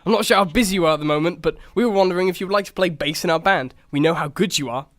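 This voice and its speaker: An actor playing an eager, friendly young horse who leads an animal band in an audio story, speaking earnestly and encouragingly. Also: male voice, sits high, rapid-fire, a bit bright, dry recording.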